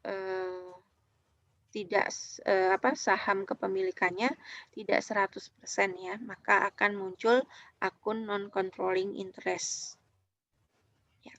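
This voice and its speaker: Female Indonesian lecturer, medium pitch, dry but dull lo-fi sound, halting explanatory delivery with frequent check-in tags. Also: level tone, fairly bright tone, dry recording. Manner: halting, often checks in with short tag words. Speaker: university lecturer. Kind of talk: online accounting lecture, explanatory.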